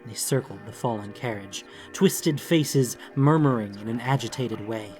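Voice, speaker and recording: A man's voice: mid-range voice, normal speed, darkish tone. A man reading a scripted fantasy story as an audiobook narrator, in a dramatic storytelling style. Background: background music.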